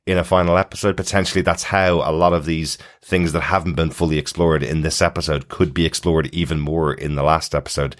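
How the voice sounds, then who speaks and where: Very deep-voiced male podcast host. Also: fairly even intonation, brisk, hardly any pauses. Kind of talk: casual TV review chat.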